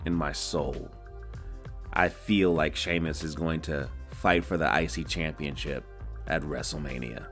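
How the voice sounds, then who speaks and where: A man's voice: rumbling low, steady tone, measured pace, fairly bright tone, soft background music. A man co-hosting a casual wrestling chat, talking in laid-back, drawling banter. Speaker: co-host.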